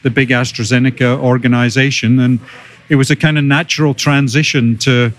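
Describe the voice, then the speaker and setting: A man's voice: mid-range voice, little pitch movement, a little fast. Middle-aged Scottish man being interviewed. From Scotland. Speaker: podcast guest, biotech executive. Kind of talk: conversational interview answers.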